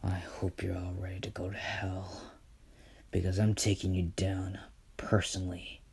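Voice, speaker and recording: A man voicing a cruel, vengeful fallen angel in a low, menacing whisper while telling a story. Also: completely flat delivery, unhurried, gravelly, a little mellow, slightly rough, exaggerated voice.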